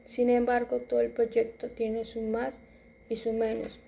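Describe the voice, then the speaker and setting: A woman in her twenties reading a personal story aloud, halting at times and correcting herself as she goes. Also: fairly high pitch, completely flat delivery, vocal fry, dark tone, a little hiss or hum. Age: 20s. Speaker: student.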